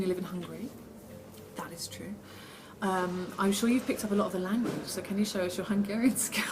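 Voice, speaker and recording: A woman actress giving casual, chatty interview answers in a self-deprecating way, reading the questions out herself. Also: fairly low pitch. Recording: steady background noise.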